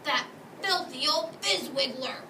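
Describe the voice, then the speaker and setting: A woman's voice: high voice, animated, slow, heavily acted. A woman voicing a big, moody, tearful storybook giant who exclaims in muddled grammar. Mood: indignant, upset. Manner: ungrammatical, exclaiming. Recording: echoey room, distant mic, noisy background.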